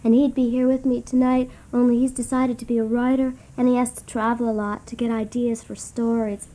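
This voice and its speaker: A woman's voice: fairly high pitch, level tone, fairly quick, warm tone, close to an everyday voice. An actress playing a meek, desperate girlfriend in a radio satire, confessing in an artless, matter-of-fact way. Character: meek, desperate doormat girlfriend sharing at seminar. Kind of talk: satirical radio comedy acting.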